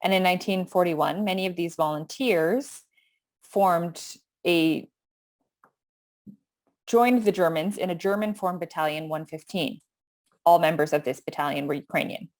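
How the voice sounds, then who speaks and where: A woman with a Canadian accent reads out a prepared history talk in a slightly low voice. Her delivery is steady and measured, with the odd small stumble over a word. She sounds like a lecturer working through her notes. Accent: Canadian English. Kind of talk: prepared lecture read aloud.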